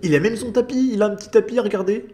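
A man narrating a commentary over clips, dry and sarcastic, with mocking asides. His voice sits a touch higher than most men's. It is a casual voice-over. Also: racing, noisy background. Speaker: commentary channel host.

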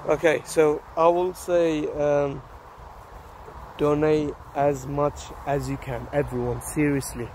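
A slightly hoarse man in his thirties, vlogging casually on a phone in an open park, talking haltingly with many fillers and restarts. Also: on the high side, level tone, darkish tone, poor audio. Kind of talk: casual selfie vlog. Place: open grassy park outdoors. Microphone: phone held at arm's length. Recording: outdoor phone audio with wind and traffic noise.

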